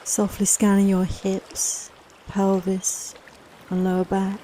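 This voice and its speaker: A woman leading a guided relaxation meditation in a soft, soothing, unhurried, reassuring manner, with long pauses between phrases. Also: mid-range voice, completely flat delivery, slight background noise, low-quality recording. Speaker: guided meditation and yoga nidra teacher.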